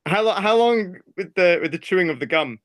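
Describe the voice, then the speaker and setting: A man's voice: high-pitched, animated, brisk, a bit bright, slight background noise. A man chatting casually as an interview guest, with short quips. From Scotland. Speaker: band member being interviewed. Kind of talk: casual chat.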